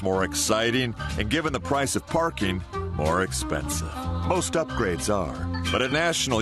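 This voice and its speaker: A man reading a playful, punchy radio commercial. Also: mid-range voice. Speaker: commercial voice-over. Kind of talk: scripted radio ad read. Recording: studio ad read over music.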